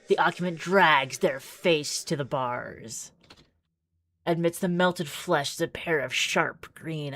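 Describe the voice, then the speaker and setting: A woman with a low voice and a slow, measured delivery, recorded close and dry. She reads game text dramatically and drifts into casual, rambling asides as a streamer. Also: gravelly, a bit bright, no room sound. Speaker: streamer playing visual novel demos. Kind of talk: casual livestream commentary mixed with dramatic read-aloud narration.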